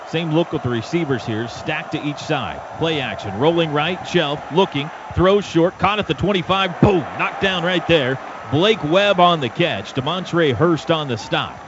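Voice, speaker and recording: An American man calling live football play-by-play on radio in clipped, excitable bursts, recorded in lo-fi broadcast sound. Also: a little high. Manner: excitable, clipped rapid-fire calls. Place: stadium radio booth. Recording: dull lo-fi broadcast with loud stadium noise. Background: loud stadium ambience.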